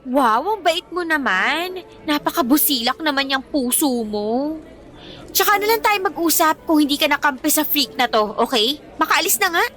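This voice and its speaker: A woman's voice: sits high, animated, normal speed, fairly bright tone. A woman playing a spiteful, haughty teenage bully in a Filipino radio drama, with taunting, sarcastic delivery. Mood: sarcastic, huffy.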